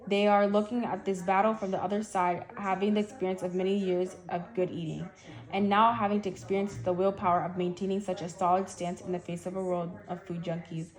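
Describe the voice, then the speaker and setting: A teenage girl speaking haltingly, sometimes stumbling over her words as she reads or answers questions. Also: fairly low pitch, level tone. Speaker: guest reading an article aloud and answering questions. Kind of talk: halting read-aloud and shy interview answers.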